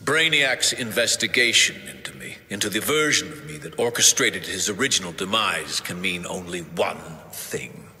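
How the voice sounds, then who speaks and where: An actor playing a grave, commanding mastermind in a video game, speaking in a deliberate, solemn way. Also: male voice, deep voice, expressive, sharp tone, soft background music, heavily acted. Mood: grave, measured. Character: grave, commanding male mastermind urging decisive action. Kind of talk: scripted video game cutscene acting. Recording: long hall reverb, faint noise.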